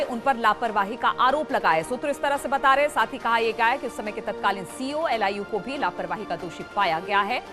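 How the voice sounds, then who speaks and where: High-pitched woman news anchor over music. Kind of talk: broadcast news reading. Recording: news music bed behind the voice.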